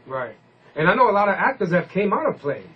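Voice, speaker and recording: A man's voice: sits high, sing-song, fast talker, muffled recording, crowd noise. A man hosting a casual hip-hop radio interview, rambling informally and using slang. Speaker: radio host.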